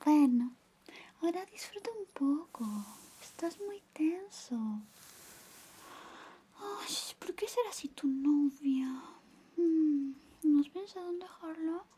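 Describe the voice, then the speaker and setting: Woman acting out high, whispery, flirty best friend. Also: slow pace, long pauses, a little hiss or hum. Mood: affectionate, flirty. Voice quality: soft, breathy whispering mixed with soft voice. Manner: coaxing, intimate, lingering. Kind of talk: Spanish ASMR roleplay, whispered personal attention.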